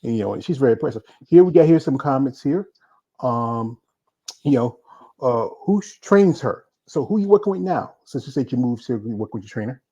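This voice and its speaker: A man's voice: mid-range voice, lively intonation, brisk, dark tone, breathy edge, lo-fi sound. A man hosting an informal interview, chatting in a rambling way and reading out viewer comments. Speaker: interview host.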